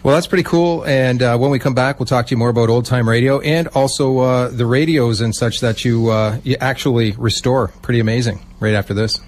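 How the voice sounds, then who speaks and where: A man's voice: medium pitch, fairly quick, hardly any pauses. A man hosting a radio show, asking questions in a casual, conversational way.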